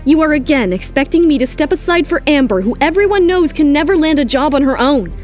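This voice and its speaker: A woman's voice: high-pitched, lively intonation, a little fast, darkish tone, soft background music. A woman voicing a proud, confident top model in an audio drama, assertive and cutting. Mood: defiant.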